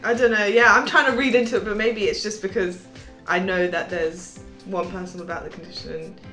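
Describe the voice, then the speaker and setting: A woman speculates out loud in a chatty, casual way while watching a video with a friend. Her voice is mid-pitched. It carries the echo of the room. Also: steady tone, fairly quick, faint music behind. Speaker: panel viewer. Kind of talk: casual chat.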